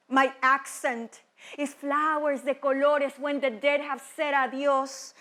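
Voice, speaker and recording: A woman's voice: sits high, fairly bright tone. A woman from Mexico with a Mexican accent, performing spoken word poetry and teaching how it is made. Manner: slow, measured poetic delivery with Spanish words. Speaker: teaching artist.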